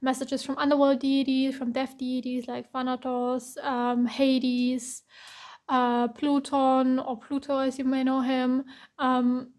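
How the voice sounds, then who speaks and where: A woman from Germany giving a casual tarot reading, hoarse with a cracking voice, reassuring and repeating herself. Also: high-pitched, a little mellow. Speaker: tarot reader.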